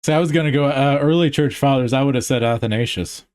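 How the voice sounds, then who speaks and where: A man's voice: mid-range voice, fast talker, darkish tone. A man in casual podcast conversation, enthusiastic and slangy, often laughing as he explains his ideas. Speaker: podcast co-host.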